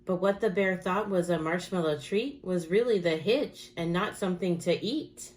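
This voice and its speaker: A woman with a slightly low voice reads a picture book aloud for young children. She tells it like a teacher, keeping a steady rhythm and putting dramatic emphasis on key words. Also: normal speed.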